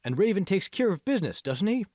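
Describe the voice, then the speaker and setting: A man's voice: high-pitched, sing-song, fast talker, mellow, dry recording, clean studio sound, pitched above the performer's own voice. A man voicing a confident, encouraging college friend in an audiobook, prodding and persuasive. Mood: insistent encouragement. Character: confident, encouraging young male college friend, insistent coach. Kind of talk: audiobook character dialogue.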